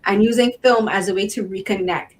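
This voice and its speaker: A woman's voice: mid-range voice, steady tone, fairly quick, slight background noise. A woman hosting a podcast interview, chatty and enthusiastic with lots of fillers. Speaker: podcast host. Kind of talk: casual interview.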